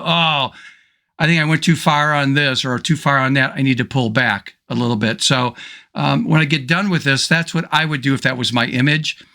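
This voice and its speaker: A middle-aged man teaching photo editing over a screen recording, slightly hoarse and thinking aloud with relaxed ums and restarts. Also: mid-range voice. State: slightly hoarse, clearing throat. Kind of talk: screen-recorded software tutorial.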